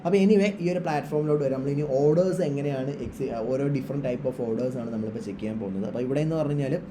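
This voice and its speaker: A man's voice: mid-range voice, very fast, background noise. A man giving an informal, instructional screen-share trading tutorial, mixing English terms into his speech. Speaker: trading tutorial presenter, trader.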